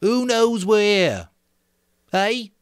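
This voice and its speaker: A man's voice: high-pitched, expressive, extremely slow, smooth, dry recording, studio quality, pitched above the performer's own voice, heavily acted. A man reading a novel aloud, playing an anxious, indignant schoolboy know-it-all with a pointed, scolding manner. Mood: indignant, urgent. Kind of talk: amateur dramatic reading of a novel.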